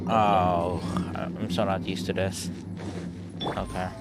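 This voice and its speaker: A man's voice: low-pitched, level tone, takes it slowly. A man streaming a video game, giving casual live commentary in understated, muttered asides. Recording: loud game music behind. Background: loud game music.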